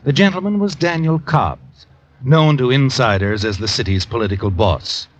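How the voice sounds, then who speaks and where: A man narrating a vintage radio mystery as a suave, deliberate storyteller. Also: medium pitch, no echo, highs cut off. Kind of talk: scripted 1940s-50s radio mystery narration.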